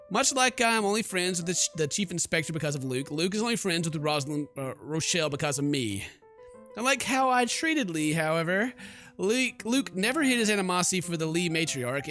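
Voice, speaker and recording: A man's voice: fairly high pitch, sharp tone. A man doing jokey, exclamatory, slangy commentary on a horror game while reading its dialogue aloud. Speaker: let's player.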